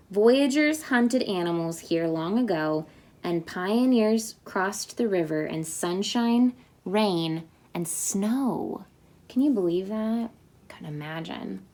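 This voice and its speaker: Slow, cheerful woman reading a picture book. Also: medium pitch, dark tone. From Indiana. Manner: slow, cheerful storytime reading with chatty asides. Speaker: teacher reading aloud.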